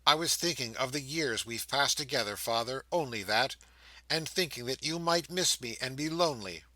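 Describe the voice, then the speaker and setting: A man's voice: mid-range voice, normal speed, sharp tone, no echo, lightly acted, low-quality recording. Man voicing a teasing young bride. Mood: tender.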